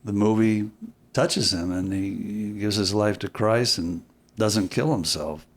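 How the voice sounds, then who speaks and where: Low-voiced man telling stories, deliberate and halting. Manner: halting, deliberate, repeats phrases for emphasis. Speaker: podcast guest, filmmaker. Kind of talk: reflective interview storytelling.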